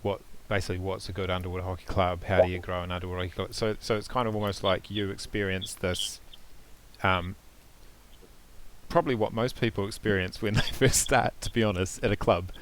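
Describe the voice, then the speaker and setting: A man's voice: sits low, steady tone, a little fast, low-quality recording. A man hosting a casual podcast interview, easygoing and chatty, with plenty of fillers. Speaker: podcast host.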